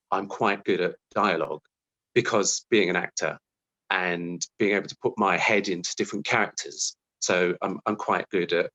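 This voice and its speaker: A man's voice: deep voice, fairly bright tone, low-quality recording. A British man giving chatty, self-deprecating, conversational answers as an interview guest.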